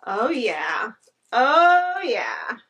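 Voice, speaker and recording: Bright-voiced woman gushing in an unboxing. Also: on the high side, wildly swooping pitch, very slow, husky. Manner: chatty and enthusiastic, gushing over items. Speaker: home decor vlogger. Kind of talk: casual unboxing chat to camera. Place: living room at home, indoors. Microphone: camera across the room.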